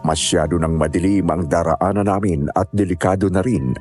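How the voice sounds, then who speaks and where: A man narrates a scripted horror story in the first person. Soft music plays behind him. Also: deep voice, fairly even intonation, normal speed.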